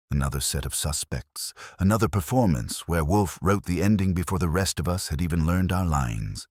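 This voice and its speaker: Detective fiction narrator, male: very deep, husky voice, dry close sound, dramatic scripted read. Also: no room sound. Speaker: audiobook narrator.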